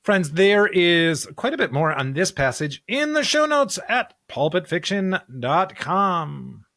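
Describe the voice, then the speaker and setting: A man's voice: high voice, very animated, slow, a bit bright, dry recording, clean studio sound. A man co-hosting a podcast, discussing scripture conversationally in an earnest way, often posing rhetorical questions. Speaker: podcast co-host.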